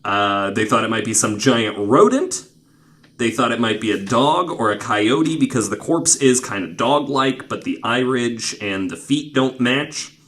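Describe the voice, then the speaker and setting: A man's voice: mid-range voice, animated, unhurried, fairly bright tone. A man hosting a podcast, telling stories casually to co-hosts in an informal, wryly skeptical way with some swearing. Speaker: podcast host.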